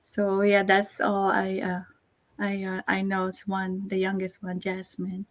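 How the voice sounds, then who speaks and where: A middle-aged woman from the Philippines, a guest chatting on a video call, talking warmly with many fillers and soft laughs. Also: mid-range voice, completely flat delivery, unhurried. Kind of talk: casual interview chat.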